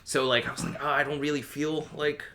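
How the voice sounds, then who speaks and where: A young man hosting a casual interview in a comic shop, reflective and halting, with many restarts. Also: fairly high pitch, little pitch movement, pushed and bright. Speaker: podcast host.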